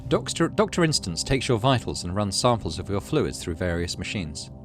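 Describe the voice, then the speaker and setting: A man's voice: lowish voice, big pitch swings, moderate pace. A man hosting a gaming playthrough, mixing casual commentary with read-aloud story narration. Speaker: gaming channel host. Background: game music.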